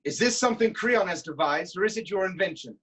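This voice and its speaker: Casting: performer as suspicious, hot-tempered monarch, commanding and declamatory, mid-pitched voice, classical Greek tragedy. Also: expressive, quick pace, a bit bright, slightly rough. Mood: suspicious, contemptuous.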